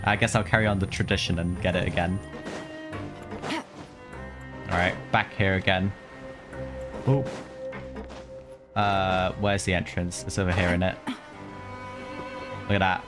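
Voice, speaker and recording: A man's voice: deep voice, fairly even intonation, moderate pace. A man streaming a video game, reacting casually with informal interjections and asides. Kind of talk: casual live gameplay reaction. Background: game music.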